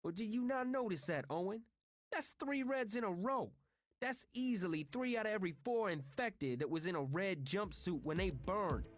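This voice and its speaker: A man's voice: mid-range voice, expressive, normal speed, slightly rough, dry recording, lo-fi sound. Man playing a firm, composed inmate leader. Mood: pointed, insistent. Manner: reasoning, pointed, firm.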